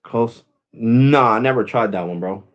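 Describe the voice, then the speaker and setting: A man's voice: medium pitch, measured pace, muffled recording. A man in his forties hosting a live stream, chatting casually and rambling, saying "bro" and "guys" often. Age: 40s. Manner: switches to Spanish with family.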